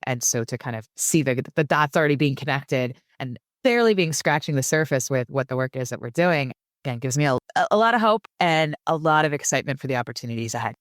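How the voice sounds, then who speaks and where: A podcast guest, a woman from San Francisco and Germany, chats eagerly and often doubles a word as she begins a thought. Her voice is smooth and clear. The sound is close and dry, with no room echo. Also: a bass voice, expressive, fast talker. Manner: enthusiastic, often repeats words as she starts a phrase. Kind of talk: casual interview talk.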